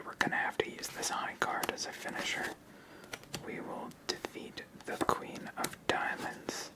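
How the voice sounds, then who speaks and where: A person whispering throughout in an ASMR style, calmly explaining a card game step by step as its host. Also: low-quality recording. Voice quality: whispered, unvoiced.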